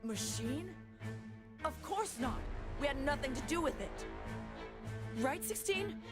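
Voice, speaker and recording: A cool, forthright android wife in a video game. Her pitch is high and very mobile, her manner direct and insistent, with loud music underneath. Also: animated, slow pace. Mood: surprised, defensive. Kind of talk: English video game voice acting.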